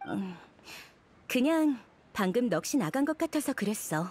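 Earnest high-voiced young game woman. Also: very animated, moderate pace, a little mellow, husky. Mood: earnest, concerned. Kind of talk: video game cutscene acting. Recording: music under dialogue. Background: background music.

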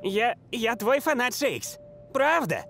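A man's voice: very high voice, big pitch swings, slow, vocal fry, fairly bright tone, soft background music, exaggerated voice. A man voicing an eager, geeky superfan who fancies himself a motivational coach, gushing in a cartoon. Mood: starstruck. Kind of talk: animated cartoon acting.

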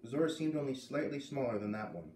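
A man's voice: mid-range voice, lively intonation, fast, warm tone. A man in his thirties reads a story aloud in a narrating style, with casual asides. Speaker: storyteller reading a children's novel aloud. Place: living room by a fireplace. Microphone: camera a few metres away. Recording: roomy, distant camera mic with faint noise.

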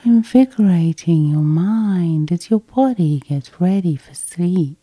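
Female hypnosis guide. Hushed, breathy and unhurried, soothing delivery, very dry intimate sound. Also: on the low side, animated, no room sound. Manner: soft, soothing, slow breathy half-whisper. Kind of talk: whispered sleep hypnosis, ASMR guided meditation.

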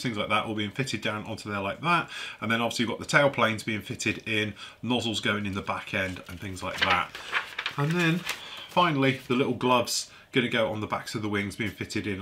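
A man's voice: on the low side, bright, forceful tone, slight background noise. A man with a congested, stuffy voice, chatting casually as he reviews a model kit, thinking aloud while he handles the parts. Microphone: overhead camera on a workbench, voice off-screen.

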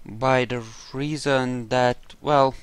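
A man with a clear, smooth, mid-pitched voice talks through a video game. He muses aloud casually, recorded dry and close. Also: steady tone, takes it slowly, no room sound. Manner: musing, thinking aloud. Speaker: gamer playing a role-play playthrough. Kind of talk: casual gameplay commentary.

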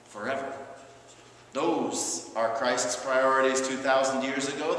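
Male pastor, 30s, sermon delivery in emphatic, deliberate phrases; very reverberant church, faint noise. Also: medium pitch, sharp tone. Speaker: Lutheran pastor. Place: large church, preaching from a pulpit.

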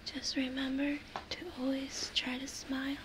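A young woman whispering a gentle, soothing ASMR role play, reassuring the listener up close in a small room. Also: sits high, completely flat delivery, normal speed, background noise. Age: young adult.

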